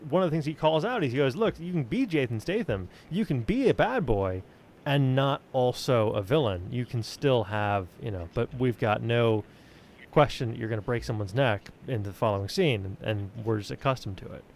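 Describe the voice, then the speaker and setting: A man's voice: medium pitch, animated, normal speed, a little mellow, slight background noise. A man hosting a podcast, chatting casually with the group. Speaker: podcast host.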